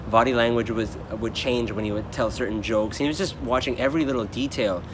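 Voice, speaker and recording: A man's voice: mid-range voice, fairly quick, background noise. A man, a dancer with the company, chatting casually and earnestly, often restarting his sentences. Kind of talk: casual chat.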